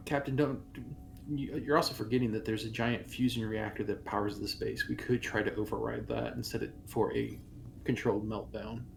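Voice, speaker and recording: A man playing a tabletop game, thinking through plans aloud in a deliberate way. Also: on the low side, fairly even intonation, creaky, slight background noise. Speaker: player.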